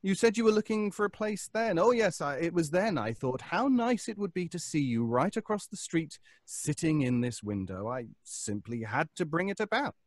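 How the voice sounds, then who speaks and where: A man's voice: a little high, animated, normal speed, few pauses, dry recording, clean studio sound. A man playing a vengeful, mad failed actor in old-time radio suspense style, taunting his trapped rival with theatrical mock courtesy. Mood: sly, gloating.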